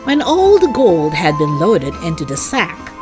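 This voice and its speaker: A woman reading a story aloud to children in an expressive, deliberate, child-directed way. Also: lowish voice, over music.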